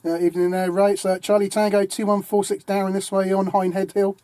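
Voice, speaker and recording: Male CB caller, rapid and flat, casual check-in through heavy static. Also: high voice. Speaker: CB radio operator. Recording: CB radio transmission, loud static.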